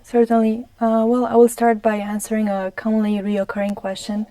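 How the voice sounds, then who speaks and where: Young woman, Romanian accent, guest on a community TV show; even, mellow delivery, halting and careful. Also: on the high side, no echo. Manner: careful, many ums and restarts. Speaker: studio guest. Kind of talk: studio interview. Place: TV studio.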